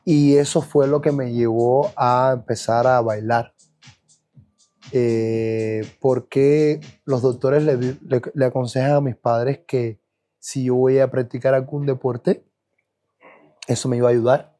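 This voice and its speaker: A man from Cuba with a Cuban Spanish accent, a dancer recounting his childhood in a relaxed, reflective podcast interview, with frequent fillers and restarts. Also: mid-range voice, slow pace, soft background music. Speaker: guest dancer. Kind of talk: podcast interview, personal storytelling.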